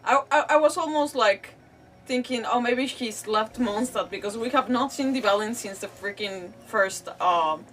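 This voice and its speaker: A woman's voice: fairly high pitch, a little slow, a bit bright, far from the mic, a little hiss or hum, rough recording. A woman chatting casually as she streams a video game. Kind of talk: casual gaming stream chat.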